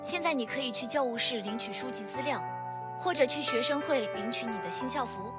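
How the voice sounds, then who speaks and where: A courteous young female student guide, acted by a woman in a visual novel game, speaking in a high voice over loud music. She is formal, polite and welcoming as she addresses newcomers. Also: brisk, close to an everyday voice.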